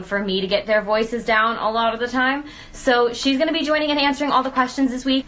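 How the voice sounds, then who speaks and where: Bright, slightly high-voiced woman hosting casually. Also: a little hiss or hum. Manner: informal, self-deprecating. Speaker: host of a live video series. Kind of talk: casual chat.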